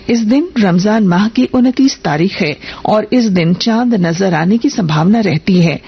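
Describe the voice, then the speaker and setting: A dull, lo-fi radio recording of a woman reading the news. She is formal and measured, with a husky, gravelly edge to her mid-pitched voice as she delivers a scripted bulletin.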